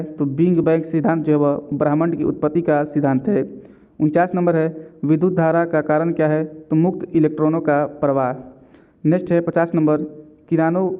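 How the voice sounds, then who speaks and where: A man tutoring for an exam, reading each quiz question and then its answer in a steady, methodical rhythm. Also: a little high. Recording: tinny phone-like sound.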